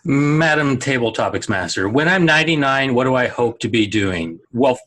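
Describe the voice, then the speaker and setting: A man's voice: mid-range voice, expressive, normal speed, a bit bright. Middle-aged guy cracking jokes about himself on a video call. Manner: joking, self-deprecating storyteller. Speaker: Toastmasters speaker. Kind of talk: impromptu table topics speech. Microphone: online video call.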